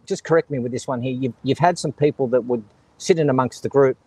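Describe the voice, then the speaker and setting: An Australian man hosts a loose, joking chat, laughing easily and reading viewer comments aloud. His voice sits at a middling pitch. The sound is close and dry, with no room around it. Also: quick pace, darkish tone, husky, dry recording. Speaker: host. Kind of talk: casual chat.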